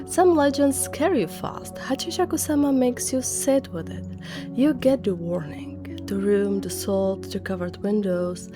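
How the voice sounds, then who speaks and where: A woman's voice: medium pitch, fairly even intonation, unhurried. A woman narrating scary stories conversationally as host, dropping dramatic short phrases and wry personal reactions. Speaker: narrator and host of horror legend videos. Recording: music bed under voice. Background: background music.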